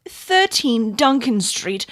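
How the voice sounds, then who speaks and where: A woman's voice: fairly high pitch, very animated, takes it slowly, fairly bright tone, no echo, clean studio sound, heavily acted. A woman voicing a chattering working-class old London crone who rambles in folksy dialect, for a dramatic audiobook. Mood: garrulous, wheedling.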